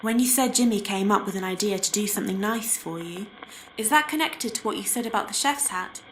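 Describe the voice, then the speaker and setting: A woman playing an earnest young hotel guest in a horror game, asking careful, clearly phrased questions in a cautious way. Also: medium pitch, a little fast, a little hiss or hum, lightly acted, rough recording. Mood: cautious, probing.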